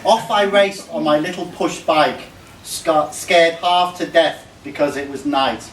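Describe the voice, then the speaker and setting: A man reading a comic rhyming poem aloud to a gathering, in measured lines. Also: fairly high pitch. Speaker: reader of a birthday tribute poem. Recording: roomy, noisy live recording from far off.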